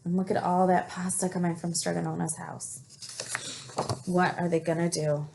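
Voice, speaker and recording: A woman reading a picture book aloud in an expressive storytelling style, stopping to put questions to young listeners and explain things. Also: on the low side, normal speed, vocal fry, noisy background.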